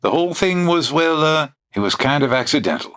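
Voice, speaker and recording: A man voices a bashful traveling salesman from the old West who keeps chuckling at himself as he rambles through his story. His pitch rises and falls a lot, and his talk has a folksy frontier drawl. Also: lively intonation, fairly quick, a bit bright, smooth. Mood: sheepish. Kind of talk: comedy audio drama acting.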